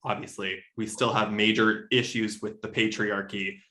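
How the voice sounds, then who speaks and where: A man's voice: fairly low pitch, level tone, moderate pace, bright, forceful tone. A man speaking as a literary podcast guest in casual discussion, thoughtful and self-correcting, often checking his points with a tag question.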